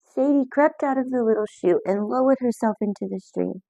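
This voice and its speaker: A woman reading a children's picture book aloud, lively and expressive, with voices for the characters. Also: a little high, brisk, vocal fry, dry recording, rough recording. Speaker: storyteller.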